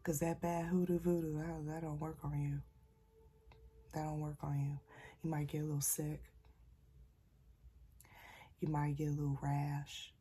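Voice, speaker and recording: Husky, low-voiced woman speaking softly. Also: little pitch movement, unhurried, pauses often. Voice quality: husky, breathy, near-whispered. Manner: folksy, calls listeners y'all and child. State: slightly sick, keeping voice soft. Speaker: spiritual channeler. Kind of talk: casual spiritual message video.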